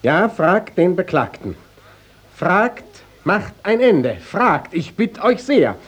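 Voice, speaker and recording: An actor playing a stern, impatient official in a radio play, with a husky voice and clipped, insistent questioning. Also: male voice, sits high, very animated, slow, heavily acted. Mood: stern, exasperated. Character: stern, impatient supervising official, exasperated and authoritative. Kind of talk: classic stage-style radio drama acting.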